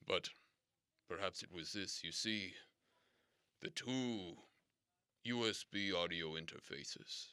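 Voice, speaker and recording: An actor playing a formal, theatrical radio station boss who explains things slowly and swears grand oaths. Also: male voice, low voice, sharp tone, clean tone, no room sound, studio quality, heavily acted. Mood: explaining. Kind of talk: comedic audio drama acting.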